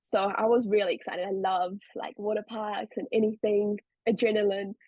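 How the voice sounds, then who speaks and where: A woman's voice: medium pitch, level tone, moderate pace, dry recording, lo-fi sound. A young woman with a South African accent, a podcast guest telling stories in a chatty way, full of "like" and "um". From India. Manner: chatty, many fillers like "um" and "like". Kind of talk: casual interview chat.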